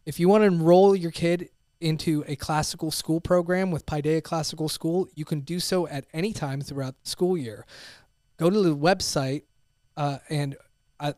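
A man's voice: on the high side, a little mellow, no echo, clean studio sound. A man hosting a talk podcast who chats casually and reads a sponsor plug in an upbeat way. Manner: brief agreeing replies, upbeat when plugging the sponsor. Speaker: podcast host.

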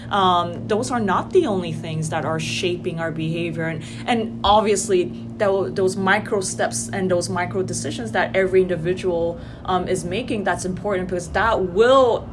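Low-voiced woman, thoughtful academic chat. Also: moderate pace, hardly any pauses, music in the background. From Indonesia. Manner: thoughtful, many ums and restarts. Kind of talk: casual academic conversation. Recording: noisy background hiss.

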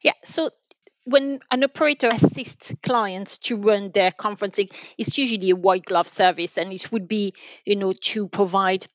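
A woman with a French accent answers interview questions conversationally. Her voice sits at an average pitch, and the sound is dull and very dry, with little of the room in it. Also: moderate pace, dry recording. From France. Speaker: podcast guest, telecom sales manager.

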